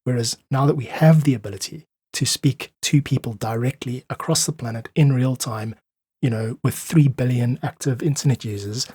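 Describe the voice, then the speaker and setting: A man's voice: medium pitch, normal speed, a little mellow, breathy edge, dry recording. A South African man speaking earnestly and articulately as an interview guest, explaining his ideas in conversation. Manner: earnest, articulate, uses analogies. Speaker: podcast guest, independent musician.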